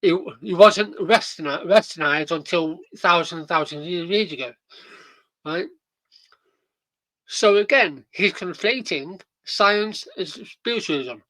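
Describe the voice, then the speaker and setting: Podcast host, slow and bright, rambling profane reaction chat with fillers. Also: medium pitch, no room sound, rough recording.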